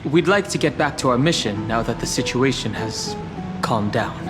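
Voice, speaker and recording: Man playing a mid-pitched earnest young explorer, reverberant. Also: lively intonation, moderate pace, natural voice. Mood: earnest, polite. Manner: courteous, matter-of-fact. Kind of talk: scripted game character dialogue. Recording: long hall reverb, music behind. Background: game music.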